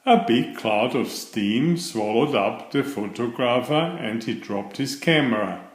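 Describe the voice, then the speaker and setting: A male narrator reads a children's story expressively. His pitch moves up and down a lot while his pace stays slow and deliberate. The recording has a noticeable room echo. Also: lively intonation. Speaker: children's book reader. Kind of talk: storybook read-aloud narration. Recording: roomy, echoing voice recording.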